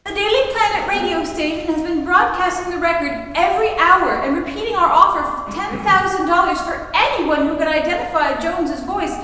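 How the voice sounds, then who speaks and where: A woman's voice: very high-pitched, a little fast, hardly any pauses, bright, forceful tone, slight background noise. A woman playing an earnest, concerned newspaper reporter in an old-time radio adventure serial, performed on stage. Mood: earnest, resigned. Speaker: amateur theatre actor. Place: live theatre stage performance. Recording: very echoey hall from far off.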